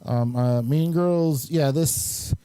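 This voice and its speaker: A man's voice: fairly high pitch, slow, mellow, clean tone. A man hosting a casual chat and reviewing movies in a rambling, hesitant way. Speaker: host and amateur filmmaker.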